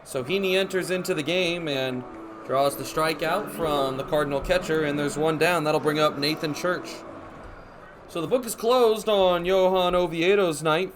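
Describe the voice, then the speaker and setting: Higher-voiced man calling baseball on radio. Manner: descriptive, measured delivery that rises on action. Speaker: baseball play-by-play announcer. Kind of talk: radio baseball play-by-play commentary. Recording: clear background ambience noise.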